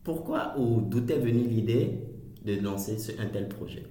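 Host voice: man with a lowish voice, relaxed, many fillers, recorded in an echoey room. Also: normal speed. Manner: relaxed, hesitant with frequent fillers. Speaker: podcast host. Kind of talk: casual interview hosting.

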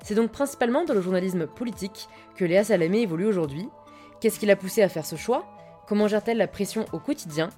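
A woman's voice: mid-range voice, animated, fast talker, dark tone, faint music behind. A woman in her twenties speaking as a podcast host and interviewer. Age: 20s. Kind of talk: scripted introduction and conversational interview.

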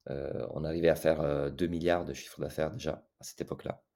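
A man's voice: very deep voice, level tone, fairly quick, creaky, warm tone. A man in his thirties from Italy with an Italian accent, speaking as a podcast guest in a hesitant way, with many fillers and restarts. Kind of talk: casual interview talk.

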